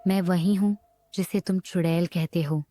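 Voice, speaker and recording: A woman playing a wronged village woman turned vengeful witch in a cartoon horror story, speaking in a measured, accusing way. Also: lowish voice, fairly even intonation, music in the background, close to an everyday voice. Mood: aggrieved, vengeful.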